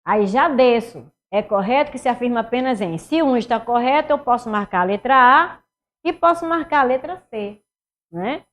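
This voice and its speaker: A middle-aged woman with a Northeastern Brazilian accent, teaching an animated online exam-prep class and talking students through each step. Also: a little high, moderate pace. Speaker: Portuguese teacher. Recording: somewhat dull lo-fi sound.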